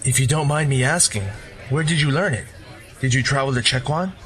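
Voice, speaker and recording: A man's voice: mid-range voice, fairly quick, close to an everyday voice. A man voicing an easygoing, friendly drifter and former warrior. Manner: relaxed, friendly, wry.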